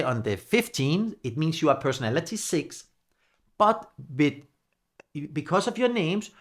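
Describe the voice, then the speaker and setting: A man in his forties with a Danish accent talks casually to the camera, gesturing a lot and laughing often. His English is halting and non-native, and his voice sits somewhat high. He is recorded close on a studio mic in a small home studio. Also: fairly bright tone. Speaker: numerology coach. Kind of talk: casual talking-head explainer. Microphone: large studio mic on boom arm.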